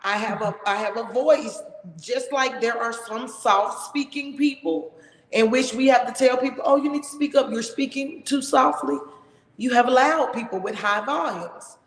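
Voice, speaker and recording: A woman with a big, loud, somewhat high voice hosts a casual talk show with emphatic, expressive delivery. Her sound rings around a roomy space with a long, trailing reverb. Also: moderate pace. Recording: echoey room with long reverb.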